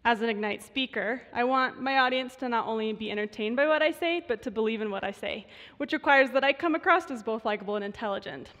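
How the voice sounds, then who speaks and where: A woman in her twenties with vocal fry, a student voice researcher giving a prepared stage talk in measured tones. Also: fairly high pitch, a bit bright. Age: 20s. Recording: reverberant hall.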